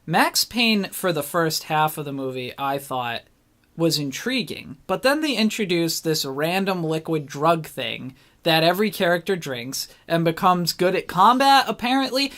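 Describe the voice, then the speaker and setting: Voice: mid-range voice, very animated, moderate pace, a bit bright. A co-host in a casual discussion show, speaking informally and with firm opinions. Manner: informal, opinionated, mildly swearing.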